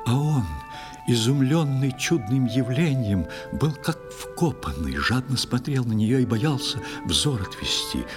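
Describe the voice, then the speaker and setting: A man's voice: medium pitch, sing-song, unhurried. A man narrating a verse fairy tale as a dramatic storyteller in an audio play. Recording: music under the voice.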